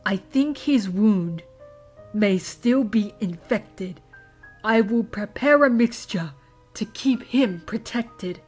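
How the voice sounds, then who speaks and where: A woman playing a calm, reassuring zebra healer with a husky voice, who speaks in rhyme. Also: medium pitch, takes it slowly, faint music behind.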